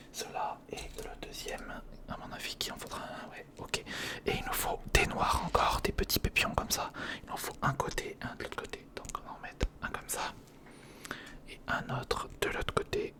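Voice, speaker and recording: A man whispering an informal ASMR narration of hands-on work, thinking aloud and softly repeating words, close to the microphone. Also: on the high side. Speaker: ASMR video creator. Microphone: close recorder or studio microphone.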